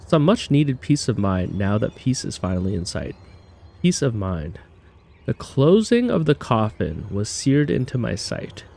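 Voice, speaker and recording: A man's voice: lowish voice, very animated, a little slow, gravelly, a little mellow, exaggerated voice. A man voicing a proud war general in formal, theatrical readings of letters from a game. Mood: solemn, weary.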